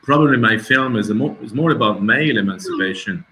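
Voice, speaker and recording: A middle-aged man, a documentary filmmaker answering festival questions over a video call, pausing often and searching for his English words. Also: fairly low pitch, lively intonation, pushed and bright. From Italy. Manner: hesitant, searching for words, many restarts.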